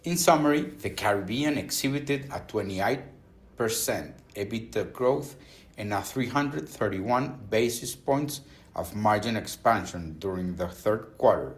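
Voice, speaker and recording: A man reading a scripted earnings call presentation as a company executive, in a formal, measured corporate manner. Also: fairly low pitch, lively intonation.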